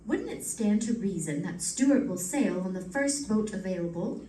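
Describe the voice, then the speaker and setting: A woman playing a loyal, quick-witted, insistent sleuth's wife in old-time radio drama, earnest and theatrical. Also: mid-range voice, lively intonation, dark tone, natural voice. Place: live stage performance, mic at a distance. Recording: distant mic in echoey, noisy room.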